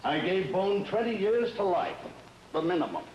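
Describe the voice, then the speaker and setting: An actor playing a stern, formal judge and earnest husband, speaking precisely in an old-style suspense drama. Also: male voice, high-pitched, big pitch swings, moderate pace, muffled recording, noisy background. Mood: composed.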